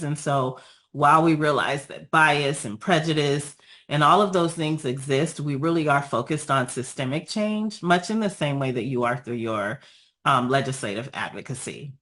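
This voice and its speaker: A woman with a raspy voice after being ill, giving an earnest, measured presentation over a video call with frequent pauses and ums. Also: sits low, fairly bright tone. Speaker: guest speaker, nonprofit founder.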